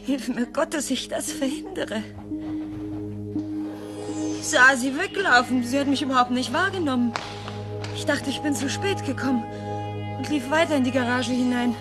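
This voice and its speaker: Actress doing guilty woman confessing in radio crime play, grave, a bit high, big music underneath. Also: moderate pace, long pauses, lightly acted. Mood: anguished. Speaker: actor.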